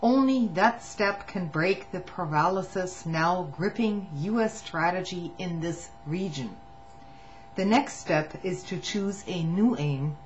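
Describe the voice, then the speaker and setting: Woman reading aloud over muffled hum. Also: lowish voice. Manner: measured reading with occasional stumbles and restarts. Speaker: reader of a public document. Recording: muffled lo-fi recording with faint hum.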